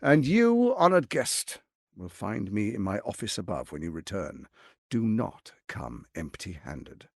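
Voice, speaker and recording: An actor playing a suave, scheming tyrant archduke in a video game, speaking in a pompous, silky, menacing and theatrical manner. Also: male voice, lowish voice, sing-song, a little slow, gravelly, no echo, studio quality, exaggerated voice. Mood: grand, commanding. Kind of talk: video game character acting.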